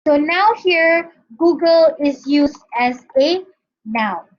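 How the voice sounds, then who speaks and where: A Malaysian woman teaching a grammar lesson in a high voice, taking her time over each point. Her recording is muffled and a little lo-fi. She keeps pausing to check that the class is following. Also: lively intonation. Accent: Malaysian English. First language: not English. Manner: explains step by step, often checks with "Okay?". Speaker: university English lecturer.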